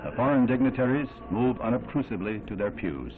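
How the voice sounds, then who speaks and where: An American man narrating a 1960s newsreel in a solemn, measured, oratorical and dramatic style. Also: mid-range voice, sing-song. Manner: grave, measured, oratorical delivery. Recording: tinny 1960s newsreel soundtrack, band-limited. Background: music.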